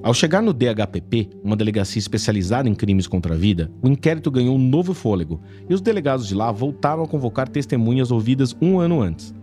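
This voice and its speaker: A man reporting and narrating a true-crime podcast in a measured investigative way. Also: mid-range voice, sing-song, mellow, soft background music.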